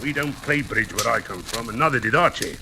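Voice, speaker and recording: An actor playing a coarse, menacing blackmailer, surly and mocking, in 1940s radio drama style. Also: male voice, medium pitch, brisk, vocal fry, lightly acted. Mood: sly menace. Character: coarse, menacing male blackmailer, sneering old pal. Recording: noisy old-time radio recording.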